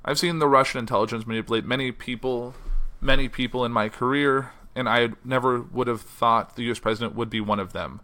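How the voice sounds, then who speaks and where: A man runs his own news podcast from home. He talks quickly with a bright, forward tone, and his voice sounds a little worn and hoarse. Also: medium pitch. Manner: reads quotes in a flat newsy voice, then chats loosely with fillers. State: losing his voice. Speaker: podcast host. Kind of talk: solo news podcast, reading articles aloud. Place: house.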